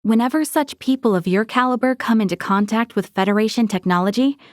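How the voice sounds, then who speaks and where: A woman acting as a young female military officer in a video game, dutiful and stern. Her voice sits fairly high and her speech is formal, earnest and carefully articulated. The sound is dry, with no room around it. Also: a little fast, no echo, lightly acted. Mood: grave, formal.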